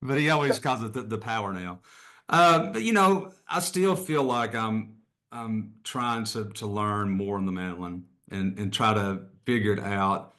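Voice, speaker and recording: A man's voice: lowish voice, expressive, a little slow, sharp tone. A middle-aged man, a guest musician, chatting casually and rambling a little, laughing often. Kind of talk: casual conversation.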